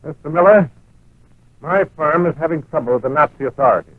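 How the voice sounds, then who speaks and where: An actor playing a troubled, earnest company representative in 1940s radio drama style. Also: male voice, a little high, fairly quick, no room sound, close to an everyday voice. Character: troubled, earnest soft drink firm representative. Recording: tinny, narrow-band 1940s radio recording.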